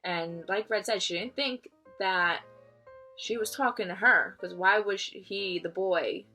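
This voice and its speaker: A woman's voice: mid-range voice, measured pace, sharp tone, faint music behind. A woman reads a children's book aloud expressively and explains it simply in a teacherly manner. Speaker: read-aloud reader. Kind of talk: expressive storybook read-aloud with explanatory asides.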